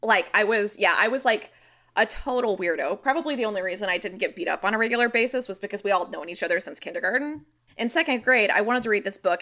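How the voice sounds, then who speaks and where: A woman with an American accent and a very bright, forward voice. She talks informally, like a podcast guest telling stories among friends, with loose phrasing and plain everyday words. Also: medium pitch. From rural Missouri, United States. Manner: informal, animated, frequent "like", sweary. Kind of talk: casual conversational storytelling and explaining.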